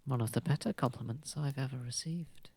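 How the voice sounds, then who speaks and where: Breathy, posh, soft-spoken vampire played by an actor. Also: mid-range voice. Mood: soothing, warm. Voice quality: breathy, husky, slightly creaky. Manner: soft-spoken, soothing, unhurried, slightly formal. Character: posh, gentle, protective vampire lord of a manor. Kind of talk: ASMR roleplay, soft intimate acting. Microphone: close microphone, dry.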